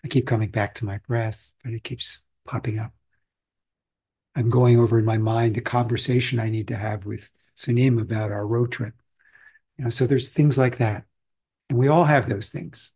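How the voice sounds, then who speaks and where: A man from Austria, a meditation teacher, giving a calm, reflective and conversational Zen dharma talk. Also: medium pitch, moderate pace, slightly rough.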